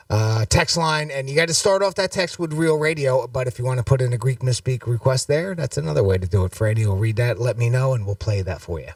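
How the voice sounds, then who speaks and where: A man's voice: mid-range voice, no room sound, far from the mic. A man hosting a radio show, chatty and upbeat, running promos and segments. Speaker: radio host. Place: radio studio.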